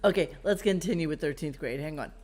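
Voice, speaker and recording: A woman's voice: low voice, fast talker, a little mellow. A woman podcast host giving casual, mocking commentary in a conversational way.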